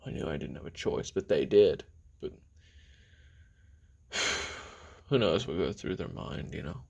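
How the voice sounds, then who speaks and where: A man playing a weary, bitter, regretful assassin in an audio drama, confiding a halting memoir in a gravelly, husky voice that drops toward whispers. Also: expressive, warm tone, full character voice. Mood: wry, reflective. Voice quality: very deep, gravelly, husky, often breathy. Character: weary, bitter, regretful male assassin recounting his youth. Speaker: voice actor in an audio roleplay. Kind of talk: confessional taped memoir monologue, audio drama.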